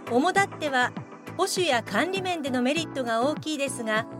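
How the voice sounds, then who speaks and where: A woman's voice: high-pitched, expressive, a little fast, over music. A woman playing the formal, explanatory narrator of a radio drama, reading from a script. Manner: formal, expository.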